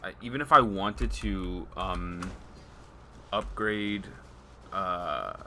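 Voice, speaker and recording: A man's voice: low-pitched, drawn-out words, bright, forceful tone, a little hiss or hum. A man giving casual, slangy, exclamatory reaction commentary on a video game, dramatic in manner.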